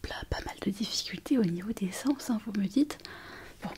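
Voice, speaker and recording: A woman playing a softly whispering, eager young intern. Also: mid-range voice, lively intonation, normal speed, lightly acted. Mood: attentive, soothing. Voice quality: soft, breathy whisper. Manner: attentive, hesitant, gently reassuring. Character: kind, eager, chatty young female hospital intern on her first night shift. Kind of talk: ASMR medical roleplay.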